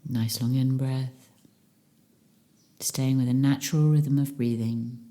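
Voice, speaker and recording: A woman leading a guided meditation as a teacher, speaking calmly and soothingly with long pauses between phrases. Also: very deep voice.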